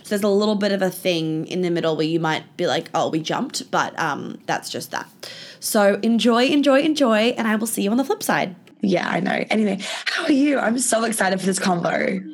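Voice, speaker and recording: A woman with a husky, hoarse voice from laryngitis, hosting a podcast in a casual, candid chat and swearing freely. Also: mid-range voice, lively intonation, brisk. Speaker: podcast host and life coach. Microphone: video call.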